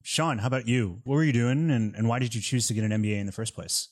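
A man hosting a podcast interview, relaxed and joking, with plenty of fillers. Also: mid-range voice, quick pace. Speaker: podcast host.

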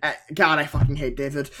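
A man's voice: fairly high pitch, sharp tone. A young man co-hosting a casual recap, rambling and swearing, slipping into mocking impressions. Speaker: podcast co-host.

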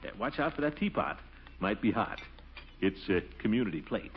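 An actor playing a pompous, flustered middle-aged small-town bachelor uncle in a 1940s radio comedy, with a blustery, exasperated manner. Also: male voice, on the low side, big pitch swings, normal speed, slight background noise. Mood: coy, eager. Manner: blustery, exasperated, swooping delivery with chuckles. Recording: dull, lo-fi 1940s radio recording.

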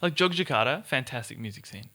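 A man's voice: mid-range voice, lively intonation, fast, pushed and bright. A man hosting a casual onstage chat, joking and laughing often. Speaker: host.

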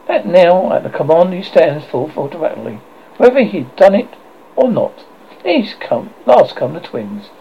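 A man's voice: vocal fry, muffled recording, a little hiss or hum. A man reading a classic children's novel aloud as a narrator, telling it expressively with occasional slips. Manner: expressive storytelling with lively swings in pitch and occasional slips. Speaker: audiobook narrator.

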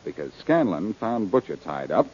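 An actor playing a cool, wisecracking amateur sleuth in a 1940s radio detective drama, confident and needling, on a vintage recording. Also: male voice, sits low, big pitch swings, normal speed, a little mellow, muffled recording. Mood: confident, explaining.

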